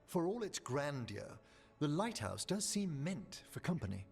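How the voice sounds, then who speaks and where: A man's voice: on the high side, big pitch swings, measured pace, vocal fry, slightly rough, soft background music, exaggerated voice. A man voicing a courteous, scholarly older necromancer professor in a video game, speaking politely and formally. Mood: gracious.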